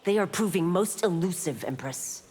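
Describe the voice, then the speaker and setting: An actress playing a stern, loyal police constable in a video game, speaking in a measured, formal and firm way. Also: female voice, fairly low pitch, lively intonation, heavy background noise, close to an everyday voice. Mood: formal, respectful. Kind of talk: video game dialogue acting. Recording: long hall-like reverb.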